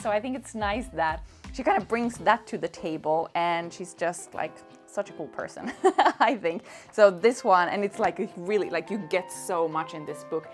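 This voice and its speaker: A young woman whose first language is Swedish, chatting casually and eagerly about knitting books with many fillers and little laughs. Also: fairly low pitch. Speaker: knitting vlogger. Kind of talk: casual chat.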